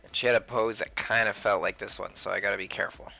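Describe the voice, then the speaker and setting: A man's voice: lowish voice, steady tone, fast, vocal fry, pushed and bright, soft background music. A man hosting a casual drawing livestream, chatting playfully with viewers and talking to himself as he works. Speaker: livestream host, sketch artist.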